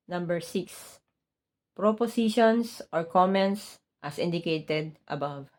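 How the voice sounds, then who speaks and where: A woman from the Philippines with a Filipino accent, whose first language is Tagalog, talking casually as a vlogger and then reading a policy aloud with careful, halting pace and small slips. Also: on the low side, darkish tone. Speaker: vlogger, hotel housekeeper.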